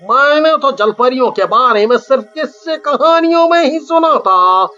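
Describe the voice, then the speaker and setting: A man's voice: extremely high pitch, lively intonation, a little slow, pushed and bright, heavily acted. A man voicing a greedy, crafty village thief in a cartoon, with an exclamatory delivery. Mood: amazed, greedy. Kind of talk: cartoon voice acting. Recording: muffled lo-fi audio.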